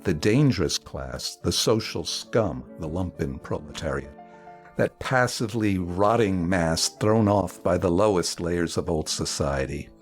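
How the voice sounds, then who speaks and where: A man reading a historical political text dramatically, with emphatic, oratorical delivery in deliberate phrases. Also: sits low, lively intonation, soft background music.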